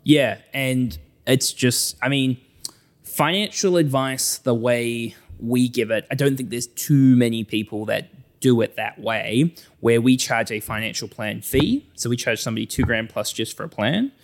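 A man's voice: mid-range voice, measured pace. A man with a New Zealand accent talking as a financial adviser in a conversational interview, often voicing imagined clients to illustrate his explanations.

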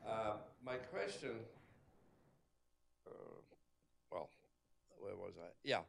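A man's voice: lowish voice, very animated, takes it slowly, gravelly, a bit bright, roomy sound. A man with a Danish accent, an audience member at a panel talk, telling a long story before he asks his question. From Denmark. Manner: rambling, storytelling.

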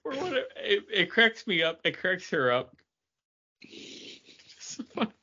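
A man hosting a casual podcast, chatting and bantering in a jokey, animated way, laughing readily. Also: high-pitched, takes it slowly, lo-fi sound. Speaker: podcast host.

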